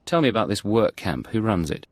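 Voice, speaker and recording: A man's voice: fairly low pitch, big pitch swings, brisk, slightly rough, no room sound, heavily acted. A man playing a cool, businesslike hired operative in a video game, controlled and matter-of-fact.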